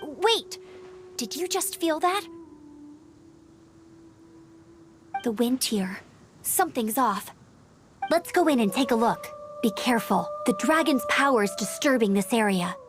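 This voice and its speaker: High-voiced, spirited young knight girl played by an actress. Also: animated, normal speed, noisy background, lightly acted. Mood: alert. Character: earnest, spirited young female knight outrider. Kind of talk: video game dialogue. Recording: background music behind the voice.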